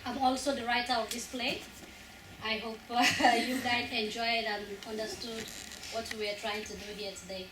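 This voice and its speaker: A woman's voice: a little high, level tone, moderate pace. A young woman from Africa, the playwright and an actress, earnestly explaining at length to an audience after a stage play. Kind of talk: post-show question and answer. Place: college theater hall. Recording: echoey hall, far from the mic, noisy.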